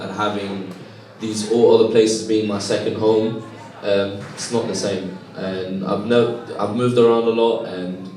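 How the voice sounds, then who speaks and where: Soft-spoken young man in echoey room. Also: fairly low pitch, recorded from a distance. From Lebanon. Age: young adult. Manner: halting, many fillers, earnest. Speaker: student organiser. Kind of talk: casual interview answers.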